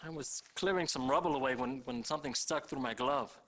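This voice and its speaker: A man's voice: mid-range voice, fairly quick, fairly bright tone, close to an everyday voice. An actor playing an urgent man calling for a doctor at a disaster scene in a video game. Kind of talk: full-motion-video game acting.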